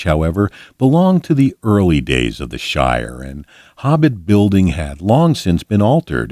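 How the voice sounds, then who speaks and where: Male storybook narrator, low register, animated intonation, measured read-aloud style for fantasy prose. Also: dry recording, clean studio sound. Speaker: volunteer storybook reader. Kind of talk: scripted audiobook narration of a fantasy book.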